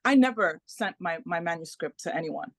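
A Dominican American woman whose first language is Spanish. She talks as an author guest in a casual interview, animated, and often repeats words and asks "right?". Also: fairly low pitch, moderate pace, dry recording, studio quality. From Dominican American, parents from the Caribbean.

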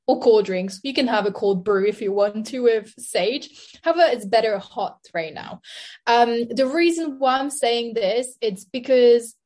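Young French woman speaking English. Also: on the high side. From France. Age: 20s. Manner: searches for words, laughs, uses "like" often. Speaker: nutritionist guest. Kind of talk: podcast interview.

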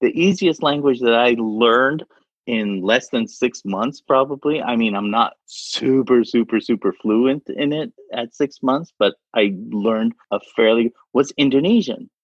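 A man's voice: mid-range voice, a little slow, no echo. A man speaking casually as an interview guest, rambling and repeating words as he talks. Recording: dull lo-fi sound.

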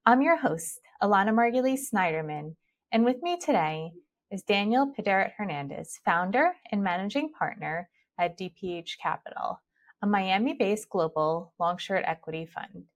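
A woman hosting a podcast, introducing her guest from a script and asking him polite, smooth questions. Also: mid-range voice, animated, moderate pace. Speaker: podcast host.

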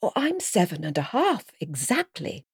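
A lonely, polite little girl from a storybook, put on by a grown woman. The voice is lifted high, soft-edged and husky, with unhurried, lilting speech. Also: wildly swooping pitch, no room sound, clean studio sound, full character voice. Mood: earnest.

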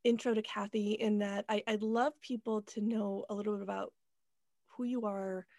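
A woman's voice: mid-range voice, little pitch movement, moderate pace, darkish tone, dry recording, studio quality. A woman hosting a podcast, earnest and musing in casual chat, swearing freely. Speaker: podcast host.

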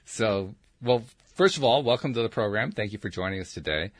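Low-voiced chatty male show host. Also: lively intonation, fairly quick, no echo. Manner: chatty, filler-heavy, warm greetings, laughs easily. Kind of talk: casual interview chat.

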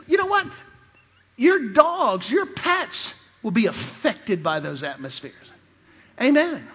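A man preaching a sermon in a high, husky voice, spinning funny personal anecdotes and checking in with the congregation as he goes. The sound is dull and lo-fi, with long reverb trailing off the walls of a church hall. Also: very animated, takes it slowly. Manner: storytelling, calls out to the congregation. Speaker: preacher and missionary. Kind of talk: sermon with humorous personal stories.

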